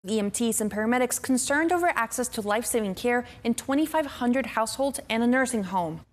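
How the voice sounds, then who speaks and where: A woman's voice: on the high side, expressive, fairly quick. A woman narrating a scripted television news report as a reporter.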